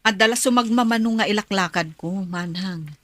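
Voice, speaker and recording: An actress playing a worried, loving mother with a husky voice in an Ilocano radio drama. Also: mid-range voice, fairly quick, fairly bright tone, dry recording, lightly acted. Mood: pleading. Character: worried, loving mother leaving to work abroad.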